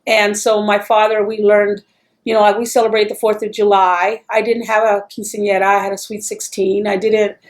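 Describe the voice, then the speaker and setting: A woman's voice: medium pitch, level tone, moderate pace. Chatty Texan woman recalling her childhood. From El Paso, Texas, US. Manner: chatty, rambling, with restarts and laughs. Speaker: interviewee. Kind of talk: oral history interview.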